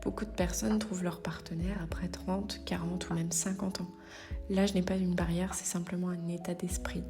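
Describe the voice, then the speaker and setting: A woman's voice: on the low side, level tone, normal speed, warm tone, faint music behind. A woman hosting a motivational podcast, speaking straight to the listener in a familiar, direct way with the odd hesitation. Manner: direct, addresses listener informally, occasional hesitations. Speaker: podcast host. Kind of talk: motivational solo podcast talk.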